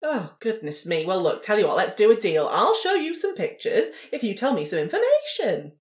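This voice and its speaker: Genteel female amateur sleuth, acted by a woman: arch, mock-innocent, very lively intonation, roomy echo. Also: medium pitch, fairly quick, pitched above the performer's own voice, natural voice. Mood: arch, prying. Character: genteel, sly female amateur investigator and newspaper writer. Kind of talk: improvised role-play dialogue. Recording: roomy, echoing room sound.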